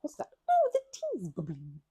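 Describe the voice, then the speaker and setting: A woman's voice: medium pitch, huge pitch swings, normal speed, warm tone, breathy edge, dry recording. A woman performing a casual best-friend ASMR roleplay, chatting in a playful, scatterbrained way and rambling as she goes.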